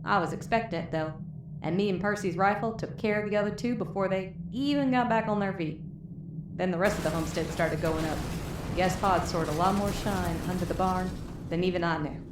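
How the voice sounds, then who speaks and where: In a roomy, echoing recording with noise behind it, a woman acts out a bitter wasteland moonshiner woman with a low voice and a Southern US drawl. She is wounded and worn out, telling her tale in folksy, profane audio drama style. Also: a little fast, close to an everyday voice. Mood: wry, weary. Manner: folksy, profane, weary storytelling. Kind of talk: dramatic first-person storytelling in audio drama. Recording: roomy echo, clear background noise.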